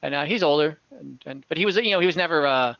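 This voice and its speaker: A man's voice: on the high side, fast talker, creaky, fairly bright tone, no echo. A man narrating as game master, describing a scene casually with many fillers and self-corrections. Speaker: dungeon master. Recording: slightly dull lo-fi online audio.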